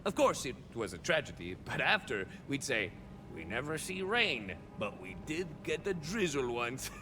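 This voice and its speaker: An actor playing a swaggering young cyborg soldier of fortune in a video game, a touched flavor, musing theatrically and landing wry deadpan punchlines. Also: male voice, medium pitch, normal speed, long reverb tail, background noise, natural voice. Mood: wry storytelling. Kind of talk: video game character monologue.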